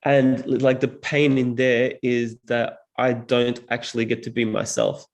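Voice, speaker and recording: Thoughtful Serbian-born man with a gravelly voice. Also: medium pitch, a little slow. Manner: thoughtful, earnest, frequent "like" fillers. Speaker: guest, public speaking teacher. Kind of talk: reflective interview talk.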